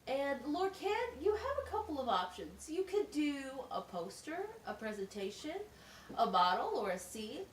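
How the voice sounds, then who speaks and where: A woman's voice: sits high, big pitch swings, moderate pace. A woman playing a patient, encouraging special education teacher at a wizard school in an amateur puppet show, explaining things simply, step by step. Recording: echoey, distant mic with faint noise.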